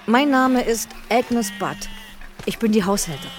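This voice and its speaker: A woman's voice: on the high side, animated, normal speed, soft background music, close to an everyday voice. A woman playing a village housekeeper in a radio drama, plain-spoken and deferential yet blunt. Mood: brisk, matter-of-fact.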